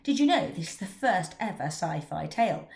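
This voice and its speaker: A middle-aged woman, an author and teacher from England, giving a prepared talk in an expressive storytelling manner. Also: fairly low pitch, normal speed, creaky, echoey room. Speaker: children's author. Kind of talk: scripted talk about her book.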